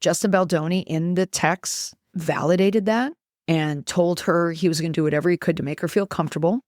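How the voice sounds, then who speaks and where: A woman's voice: on the low side, a little fast, a little mellow, no room sound, studio quality. A middle-aged woman giving opinionated podcast commentary in an emphatic way, repeating words for stress.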